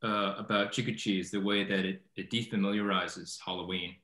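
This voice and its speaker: A man, an author guest on a video call, answering in a thoughtful, measured way. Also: on the low side, fairly even intonation, a bit bright. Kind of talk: casual discussion. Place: home room. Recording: roomy video call audio.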